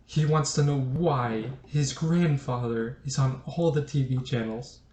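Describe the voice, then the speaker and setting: A man's voice: mid-range voice, mellow, a little hiss or hum, close to an everyday voice. Man acting a bitter, accusing man, echoey room. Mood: strained, reproachful. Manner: pointed, accusatory, halting for emphasis. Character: bitter, accusing man confronting a disgraced relative. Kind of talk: dramatic stage monologue.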